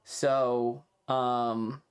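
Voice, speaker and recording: A man chatting casually on a livestream, rambling and halting, swearing freely as he talks. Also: mid-range voice, little pitch movement, clean tone. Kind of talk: casual rambling stream chat.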